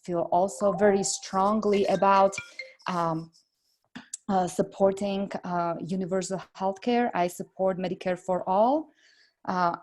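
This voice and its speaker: A middle-aged woman from Slovakia with a Slovak accent, answering interview questions earnestly and filling pauses with "uh.". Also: on the low side. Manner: earnest, steady, frequent "uh" fillers. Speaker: congressional candidate.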